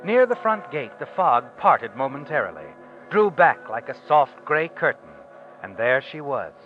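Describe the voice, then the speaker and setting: An actor playing a sinister, all-knowing narrator of crime tales in a 1940s radio suspense show, speaking in an ominous, deliberate, dramatic way. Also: male voice, medium pitch, very animated. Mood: brooding, measured. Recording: dull vintage radio recording with music.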